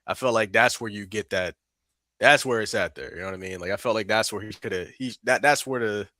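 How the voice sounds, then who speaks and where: Male co-host, laid-back and hedging, bright tone, dry sound. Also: on the low side, brisk, no room sound. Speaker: podcast co-host reviewing an album. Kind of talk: casual music review chat.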